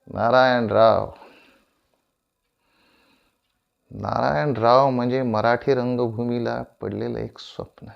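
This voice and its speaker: Slow, gravelly man playing proud aging stage tragedian. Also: medium pitch, fairly even intonation, dull audio. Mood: declamatory. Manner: grandiloquent, deliberate, with dramatic pauses and repetitions. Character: proud, aging veteran Marathi stage tragedian addressing his audience. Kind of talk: theatrical stage monologue, declamatory Marathi drama.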